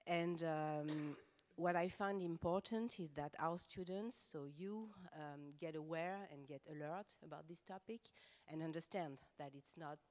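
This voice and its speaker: A woman's voice: sits low, measured pace, a little mellow. A French woman speaking English with a French accent, a professor on a panel who talks earnestly and hesitantly, with frequent fillers. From France. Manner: earnest and passionate, many hesitations. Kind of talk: round-table panel talk. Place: conference room.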